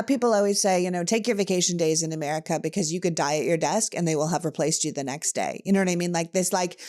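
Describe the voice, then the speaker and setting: A woman's voice: on the low side, darkish tone. A woman from Canada hosting a podcast, talking politics in a passionate, emphatic way. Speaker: podcast host. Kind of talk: casual political talk.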